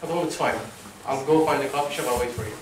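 A young man from Oman giving a motivational talk to a seated audience, animated and laughing at his own stories. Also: medium pitch, fast, background noise. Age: young adult. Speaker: motivational speaker. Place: wood-panelled lecture room with a seated audience. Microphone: room mic at a distance while he walks the stage. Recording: echoey hall from far off.

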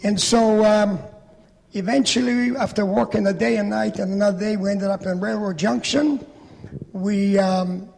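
A man's voice: sits high, moderate pace, vocal fry. A man, telling his life story steadily into a handheld microphone before an audience in a large church hall. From Poland. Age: 80s. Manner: plain, steady storytelling with gestures. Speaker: Holocaust survivor speaker. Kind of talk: testimony talk to an audience. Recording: reverberant hall.

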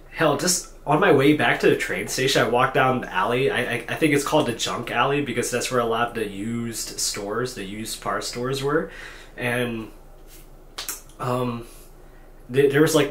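A young man in his twenties talks off the cuff to a camera on his desk, tired and self-deprecating, stumbling over restarts and fillers. His voice sits a little low. The small hotel room gives it an echoey sound with faint ambience behind. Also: fairly bright tone, slight background noise. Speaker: vlogger. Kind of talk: unscripted talking-head vlog. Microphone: camera at desk distance.

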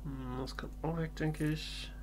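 A man's voice: on the high side, fairly even intonation, unhurried, noisy background. A man doing casual, in-character let's play commentary, musing and thinking aloud. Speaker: let's player.

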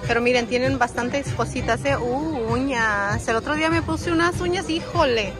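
A woman's voice: high voice, normal speed. A woman, filming a casual walking tour on her phone and chatting to her viewers as friends. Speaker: vlogger. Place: large outdoor swap meet. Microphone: phone camera held while walking. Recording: outdoor market with crowd and music. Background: crowd chatter and music at the market.

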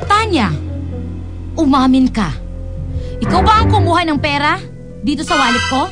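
A woman's voice: sits high, lively intonation, normal speed, over loud music. An actress playing a long-suffering, submissive mother in a Filipino radio drama, pleading and flustered, with a Filipino accent. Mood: stern, upset.

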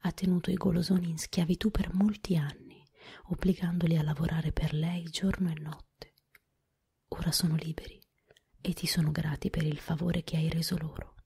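A woman whispering as a gentle old good witch. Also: low-pitched, flat delivery, moderate pace, gravelly, husky, heavily acted.

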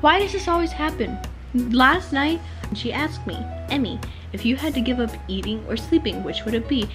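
A woman's voice: high voice, expressive, normal speed, music in the background. A teenage young woman chatting casually and telling stories, with playful, teasing interjections. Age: teens. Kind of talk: casual chat, storytelling. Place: living room couch, indoors. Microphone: compact camera mic at about arm's length.

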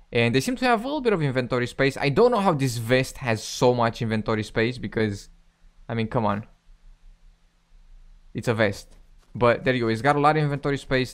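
Male gaming host, young adult, mid-pitched, casual play-by-play commentary.

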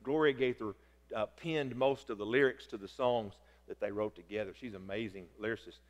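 A middle-aged man, preaching loudly and excitedly from a church pulpit, often stumbling over his words. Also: on the low side, fairly quick. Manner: loud, excitable preaching with repeated stammers. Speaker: pastor. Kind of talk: sermon. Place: large church sanctuary. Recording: long reverb of a church hall.

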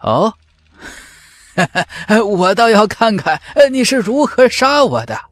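A man's voice: sits high, very animated, slow pace, fairly bright tone, voice pushed up, exaggerated voice. A man voicing a sneering, cocky martial artist thug in a fantasy audiobook. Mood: mocking.

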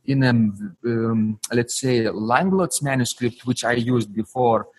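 A man hosting a podcast interview, warmly praising his guest while speaking haltingly with fillers. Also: mid-range voice, a little hiss or hum, poor audio. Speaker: podcast host. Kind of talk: interview host.

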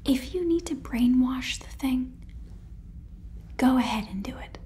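A woman's voice: sits high, measured pace, warm tone. Whispering, exasperated female boss, acted by a young woman. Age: young adult. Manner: hesitant, weary, insistent. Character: exasperated, stern female space-agency boss on the phone. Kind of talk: whispered ASMR sitcom roleplay.

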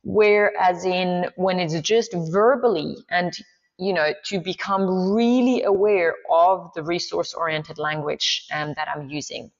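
Woman, middle-aged, enthusiastic, many fillers, lowish voice, dull call audio. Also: unhurried. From Switzerland. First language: Swiss German. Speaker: movement coach, podcast guest. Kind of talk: casual interview talk.

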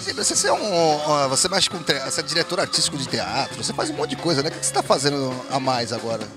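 Man, show host, playful and teasing, lively swooping voice, loud music behind. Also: on the high side, fast. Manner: jokey, teasing, theatrical outbursts. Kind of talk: playful casual interviewing. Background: band music in a rehearsal space.

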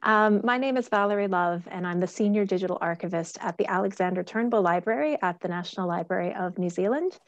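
A woman's voice: medium pitch, fast, hardly any pauses, dry recording. A woman from Pennsylvania with an American accent, giving a friendly, explanatory archive talk over a video call with a headset. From Pennsylvania, USA. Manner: friendly, steady, explanatory with fillers. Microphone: headset earphones on video call. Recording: dull lo-fi video call audio.

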